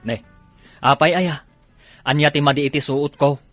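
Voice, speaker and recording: An actor playing a stubborn, grumbling, defensive husband in an Ilocano radio comedy drama. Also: male voice, a little high, fairly even intonation, moderate pace, fairly bright tone, soft background music, close to an everyday voice.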